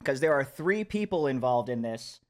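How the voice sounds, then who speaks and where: A man hosts a casual podcast in a mid-pitched voice. He talks informally, with some swearing, and introduces things as he goes. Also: expressive, normal speed. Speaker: podcast host.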